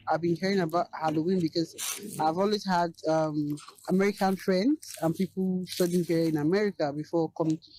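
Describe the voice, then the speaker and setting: A woman's voice: fairly low pitch, mellow, a little hiss or hum, low-quality recording. Matter-of-fact young woman. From Nigeria. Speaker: interviewed student. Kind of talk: interview answers.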